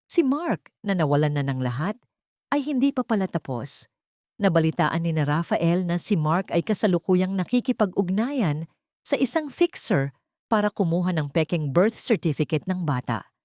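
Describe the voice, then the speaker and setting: Woman narrator, dramatic audiobook read, low and mellow. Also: no echo, studio quality. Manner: measured, dramatic. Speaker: storyteller.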